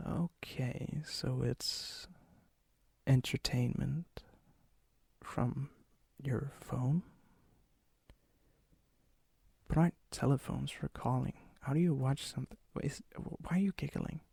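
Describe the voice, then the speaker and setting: A man playing a charming, ancient vampire and hopeless romantic in a soft-spoken, often whispered ASMR roleplay, slow, intimate and courteous, with a breathy, husky voice. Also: medium pitch, no room sound, full character voice. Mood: puzzled, curious. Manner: slow, intimate, teasing, old-fashioned courtesy. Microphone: close mic, dry sound.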